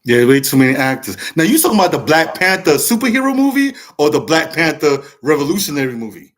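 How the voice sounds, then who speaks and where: Male host and stand-up comic, slightly high voice, animated casual chat. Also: fast. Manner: animated and chatty, laughs easily.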